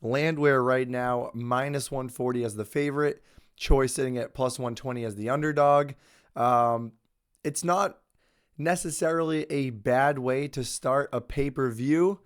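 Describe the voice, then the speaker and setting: A man's voice: mid-range voice, fairly even intonation, a little slow, clear, resonant tone. A young adult man hosting casual sports betting commentary, talking confidently through his picks while he has a cold and is losing his voice. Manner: conversational, confident opinions with fillers. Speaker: host.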